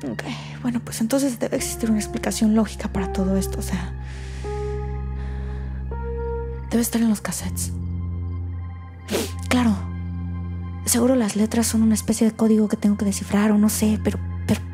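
A woman's voice: fairly high pitch, fairly even intonation, a little fast, over music, lightly acted. A woman playing an anxious, defensive daughter in a naturalistic audio drama, with a Mexican accent and a colloquial, hesitant, emotional delivery. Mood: grasping for answers. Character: anxious, defensive daughter unravelling family secrets.

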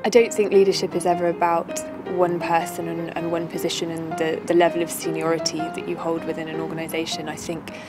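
A young medical student in her twenties speaks earnestly outdoors, with small restarts. Her tone is flat and slightly low, and clear music plays behind her. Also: a little fast, few pauses. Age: 20s. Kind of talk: interview answer.

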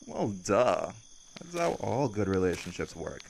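Man playing a cocky, gravelly low-voiced vampire charmer. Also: very animated, measured pace, slight background noise, heavily acted. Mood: teasing reassurance.

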